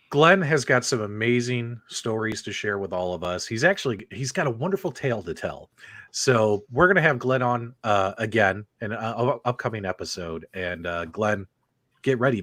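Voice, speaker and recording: Lively, bright-voiced male show host. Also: fairly low pitch, no room sound. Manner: upbeat, teasing, lively. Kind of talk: live show hosting.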